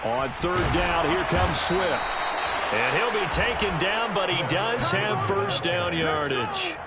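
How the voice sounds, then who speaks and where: A man's voice: sits high, very animated, slow pace, heavily acted. A man playing an American play-by-play announcer in a football video game, calling the action in short, clipped phrases. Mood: focused. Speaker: play-by-play commentator. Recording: loud stadium noise behind the voice. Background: stadium ambience of a football video game.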